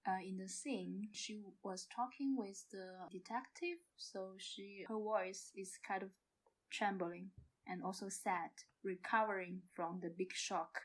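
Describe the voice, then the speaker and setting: Woman with hesitant, halting non-native English. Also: medium pitch, fairly even intonation, rough recording. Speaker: podcast guest, amateur audio play actor. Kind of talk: casual interview answers.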